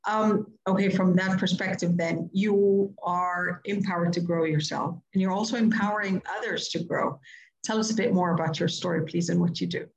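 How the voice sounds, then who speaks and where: A woman's voice: fairly low pitch, steady tone, normal speed, dull audio. A woman hosting a podcast, asking open questions and affirming her guest. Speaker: podcast host. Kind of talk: interview questions.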